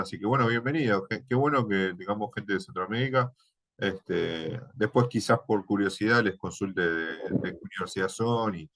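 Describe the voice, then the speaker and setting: A man's voice: sits low, fairly bright tone. A man with an Argentine accent teaching an online thesis workshop, talking in a hesitant way with many fillers. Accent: Argentine Spanish. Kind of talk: online class, thesis advising. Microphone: video call.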